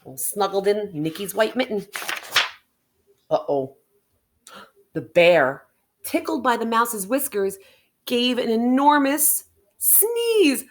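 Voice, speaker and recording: A woman reading a picture book aloud to children in an expressive way, stretching words for emphasis and adding chatty asides and questions to the listeners. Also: a little high, takes it slowly, pauses often.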